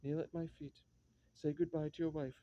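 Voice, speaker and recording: A woman's voice: low-pitched, flat delivery, brisk, warm tone, highs cut off, natural voice. An actress playing a stern, domineering old mother-in-law in a classic stage drama, imperious and disapproving.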